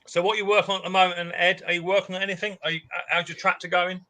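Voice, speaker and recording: Bright chatty man hosting a stream. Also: sits high, flat delivery, rapid-fire. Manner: chatty, teasing, banter-heavy. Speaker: livestream host. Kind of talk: casual livestream chat.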